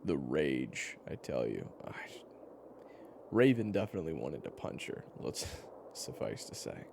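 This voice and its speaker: Casting: deep-voiced male actor as a patient, teasing survivor-storyteller for audio drama; halting, wry delivery, lively intonation, over clear background noise. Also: heavily acted. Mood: wry, reminiscing. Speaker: character narrator. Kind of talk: conversational in-character storytelling, audio roleplay. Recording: clearly noisy background ambience.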